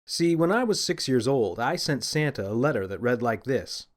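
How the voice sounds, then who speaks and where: Expressive man narrating a children's story. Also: medium pitch, normal speed. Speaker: audiobook narrator and author. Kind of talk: scripted first-person children's story narration.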